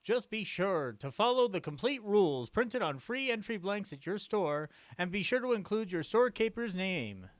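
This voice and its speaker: Casting: male actor for eager vintage radio ad announcer, high-pitched, very animated, salesmanlike. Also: moderate pace, hardly any pauses, no echo. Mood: eager selling. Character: eager 1940s radio co-announcer plugging a contest. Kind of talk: 1940s radio advertising announcing, recreated.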